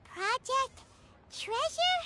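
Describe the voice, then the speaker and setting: A woman voicing an excitable, curious little fairy girl in a video game, squeaky and childlike. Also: very slow, slight background noise, full character voice. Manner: exclamatory, naive, curious.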